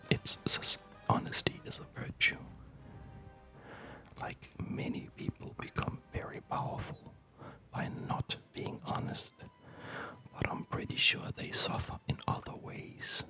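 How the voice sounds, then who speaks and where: Whispering man, slow ASMR ramble. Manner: hesitant, halting, reflective. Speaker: ASMR video host. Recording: music behind the whisper. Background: music.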